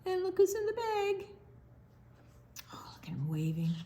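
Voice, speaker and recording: A woman reading a picture book aloud to young children, animated, pausing to explain things simply and ask questions. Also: very high-pitched, a little hiss or hum. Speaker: children's story time reader.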